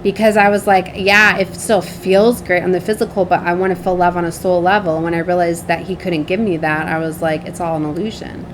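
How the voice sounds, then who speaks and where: A woman's voice: on the low side, flat delivery, a little fast, hardly any pauses, background noise. A woman giving a casual, rambling spiritual tarot reading, informal and chatty, often trailing off and checking in with the listener. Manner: frequently seeks agreement with tag questions. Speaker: tarot reader and spiritual channel host.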